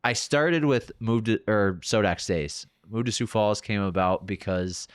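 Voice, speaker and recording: A lower-voiced man hosts a relaxed podcast chat. His voice is smooth and clear, and he talks loosely with plenty of "like" fillers. He comes across close and informal on a podcast mic. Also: measured pace, no echo. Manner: loose and chatty, many "like" fillers. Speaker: podcast host. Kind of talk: casual interview chat.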